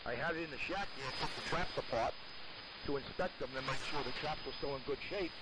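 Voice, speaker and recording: A man's voice: on the high side, fairly quick. An older man chatting casually and in a rambling, folksy way as an amateur operator over AM shortwave radio. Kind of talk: casual ham radio contact. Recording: noisy AM ham radio transmission. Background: clear static noise.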